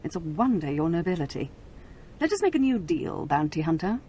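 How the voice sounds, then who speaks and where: A woman's voice: low-pitched, big pitch swings, a little fast, warm tone, slight background noise. A cruel, haughty noble lady. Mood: condescending. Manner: condescending, cold and mocking. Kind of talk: video game cutscene acting.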